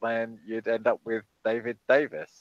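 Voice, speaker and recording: A man's voice: medium pitch, monotone, moderate pace, dull audio, a crowd in the background. A man co-hosting a casual podcast chat, with dry, wry banter. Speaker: podcast co-host.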